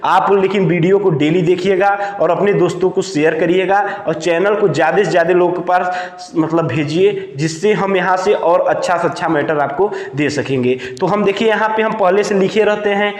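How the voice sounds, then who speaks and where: Male exam coach, high-pitched, explains point by point with repetition, recorded in a reverberant echoey room. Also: normal speed. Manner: repetitive, asks questions and answers them himself. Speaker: teacher of a Hindi exam-prep channel. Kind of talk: lesson explained point by point for note-taking.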